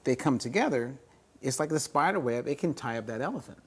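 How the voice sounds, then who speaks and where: A man co-hosting a talk show, chatting in a relaxed, playful way. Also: medium pitch, a little fast, breathy edge. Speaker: co-host. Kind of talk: casual talk show chat.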